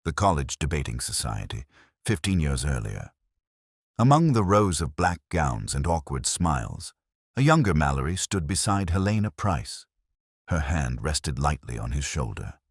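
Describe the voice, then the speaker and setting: A man narrating a scripted first-person detective story in a measured, solemn, literary manner. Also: very deep voice, dry recording, clean studio sound.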